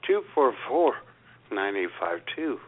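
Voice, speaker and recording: A man's voice: medium pitch, big pitch swings, measured pace. A man co-hosting a radio show in casual comic chat, laconic and dry. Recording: dull lo-fi radio audio.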